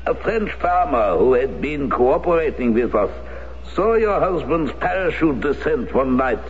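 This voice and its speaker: An aging former SS colonel in a 1970s radio drama, polite on the surface and cold beneath. He speaks slightly high, with an animated, swooping pitch, and pauses hesitantly. The old broadcast recording sounds muffled with a faint hiss. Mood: coolly polite. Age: older.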